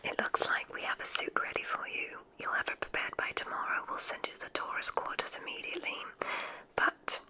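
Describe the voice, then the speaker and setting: A woman whispering softly in an ASMR roleplay as a kindly witch examiner at a magic school, speaking in a soothing, deliberate, formal way. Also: rough recording. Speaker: ASMR performer.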